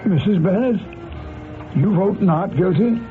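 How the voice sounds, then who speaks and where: An officious jury foreman, reasonable but exasperated. His fairly high voice presses for answers through a thin old radio recording with music behind. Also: unhurried, phone-quality sound. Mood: incredulous. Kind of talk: old radio drama acting.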